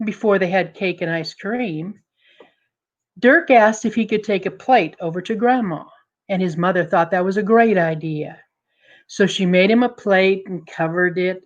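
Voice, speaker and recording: A woman telling a story dramatically to an audience, deliberate and emphatic, building suspense. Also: mid-range voice, lo-fi sound.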